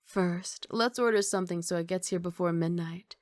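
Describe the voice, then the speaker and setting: A woman's voice: on the low side, moderate pace, dry recording, clean studio sound, lightly acted. A woman playing a confident, doting young mafia boss girlfriend in an intimate, teasing ASMR romantic roleplay. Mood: affectionate, assured. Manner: playful teasing, intimate one-sided conversation.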